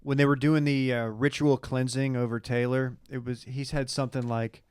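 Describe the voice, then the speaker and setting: Male co-host, medium-pitched voice, dry close sound, casual joking podcast banter. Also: little pitch movement, moderate pace, darkish tone, clear, resonant tone, no echo.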